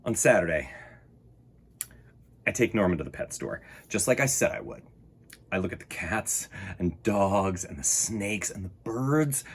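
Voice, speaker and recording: A man reading a storybook aloud as a young boy who is wary and then fond of his pet, with a husky voice and an expressive, dramatic delivery. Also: low-pitched, a little slow, pauses often, a little hiss or hum, voice pushed down. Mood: fond, reflective. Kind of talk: animated storybook read-aloud.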